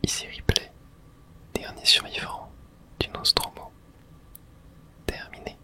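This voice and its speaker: An ASMR gaming host speaks entirely in a breathy whisper. The delivery is gentle and unhurried, set in short phrases close to the microphone. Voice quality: soft breathy unvoiced whisper. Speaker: video game streamer and host. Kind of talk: whispered ASMR game playthrough.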